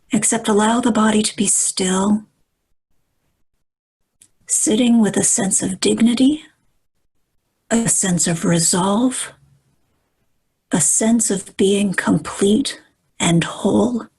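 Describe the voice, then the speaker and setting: Female meditation guide; hushed, very airy tone, unhurried delivery, calm measured phrases with long pauses. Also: medium pitch. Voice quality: soft, breathy, at times near whisper.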